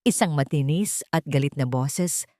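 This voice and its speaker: A woman narrates a scripted dramatic story. Her voice sits a little low, and her delivery is solemn and emotive. The recording is dry, with no room sound. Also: unhurried, mellow, no room sound.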